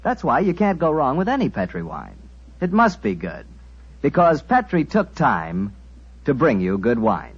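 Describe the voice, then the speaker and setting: A man's voice: medium pitch, wildly swooping pitch, measured pace, slightly rough. A male radio announcer doing chummy, folksy 1940s-style ad patter. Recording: dull old radio broadcast.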